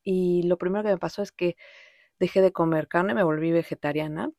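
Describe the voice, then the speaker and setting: Mexican woman, low-pitched, mellow and close. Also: a little fast, dry recording. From Mexico City, Mexico. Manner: informal, rambling, with English words mixed in. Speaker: podcast host. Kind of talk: casual personal monologue, reflective storytelling.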